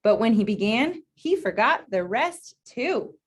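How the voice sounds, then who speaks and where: A woman with a slightly husky voice reads a picture book to children. She is expressive and encouraging, slipping between the story and chatty asides, and she often puts questions to her listeners. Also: on the high side, unhurried. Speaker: read-aloud presenter.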